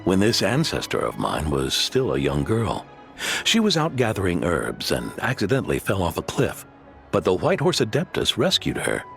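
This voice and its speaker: A proud older innkeeper in a video game, with a lowish voice and lively, swinging intonation. He is theatrical and earnest, like a grandfatherly storyteller, over music. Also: moderate pace, gravelly, exaggerated voice. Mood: hushed wonder, storytelling.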